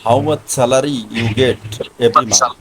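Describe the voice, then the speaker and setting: A man's voice: medium pitch, fairly even intonation, normal speed. A man in his thirties from Bangladesh with a Bangladeshi accent, chatting on a video call in simple English and often repeating his questions. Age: 30s. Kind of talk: casual video chat. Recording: noisy video call line.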